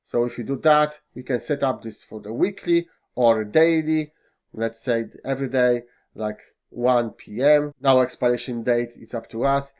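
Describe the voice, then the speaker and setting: A man whose first language is Polish walks through a web browser's features in a screen-recorded tutorial, pausing often with fillers and slightly non-native phrasing. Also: medium pitch, a little mellow. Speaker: tech tutorial host. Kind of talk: screen-recorded software walkthrough.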